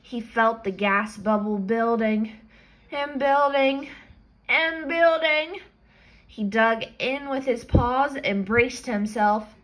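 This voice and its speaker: High-voiced woman reading a picture book, slowly. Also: a bit bright, poor audio. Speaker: storybook reader. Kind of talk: children's picture-book read-aloud.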